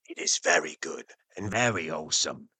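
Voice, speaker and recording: Lunatic patient, very husky, sharp-edged, deep, insistent, fervent, gothic audio drama. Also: male voice, lively intonation, moderate pace, heavily acted. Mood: fervent, mad. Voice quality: husky, rasping. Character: lunatic male asylum patient devoted to eating flies.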